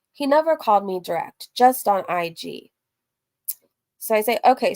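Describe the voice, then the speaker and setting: Woman, high-pitched voice, casual livestream recap, reads messages aloud, rambling, candid, frequent asides. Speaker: streamer and host of a reaction livestream.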